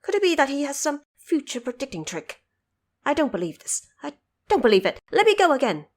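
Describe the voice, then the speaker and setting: A woman voicing a brash, cocky male monkey king, boastful and excitable, in a fantasy audio drama. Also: sits high, fairly quick, voice pushed up. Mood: astonished, disbelieving.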